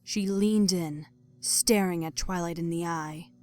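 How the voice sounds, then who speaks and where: A woman's voice: fairly low pitch, a little slow, darkish tone. A woman reading scripted story narration in a dramatic audio fiction style. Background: music.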